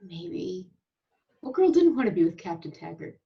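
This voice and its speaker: A woman's voice: on the low side, very animated, normal speed, gravelly. A woman playing a wry actress from a sci-fi series in an amateur table read, on a slightly dull lo-fi recording. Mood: wry, guarded.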